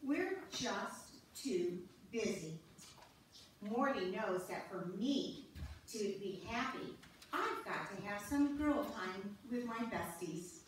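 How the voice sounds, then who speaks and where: Folksy, contented wife and mother played by a woman, stage reading, measured, distant roomy sound. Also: medium pitch, animated. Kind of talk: readers theater monologue. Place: church sanctuary, before an audience. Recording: echoey church hall, distant, faint noise.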